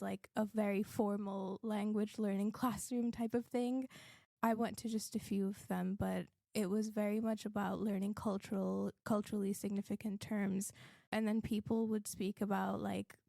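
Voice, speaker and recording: A young woman from Pakistan, a student guest, speaking in a measured, steady way. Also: medium pitch, creaky, no echo, studio quality. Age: young adult. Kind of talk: casual chat.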